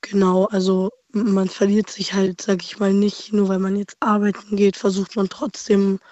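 Young woman caller, hoarse with a cold, low steady voice, flat delivery, dull phone line. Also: moderate pace, dry recording. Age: 20s.

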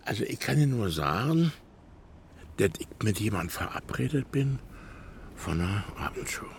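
An actor playing a sly, mischievous older village neighbour in a radio play, teasing with feigned innocence. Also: male voice, on the low side, sing-song, moderate pace, creaky, slight background noise. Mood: smug, needling.

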